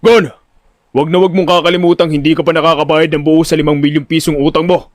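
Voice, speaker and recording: Gruff loan boss played by a man, bullying, menacing, barking orders; audio drama villain. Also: a little high, little pitch movement, fast, no room sound, studio quality, lightly acted. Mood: angry, threatening. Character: gruff, threatening male loan-collection boss.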